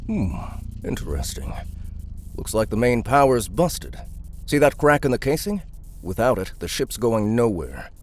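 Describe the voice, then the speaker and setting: A gruff, confident mechanic in an audio drama. His low voice moves in lively swings and sounds blunt and wry, over faint background noise. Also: a little slow. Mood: offhand appraisal. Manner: colloquial, blunt, offhand.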